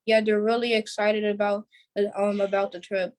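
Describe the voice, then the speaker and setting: A female interview guest giving simple, halting conversational answers. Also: medium pitch, flat delivery, a little mellow. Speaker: play cast member, guest.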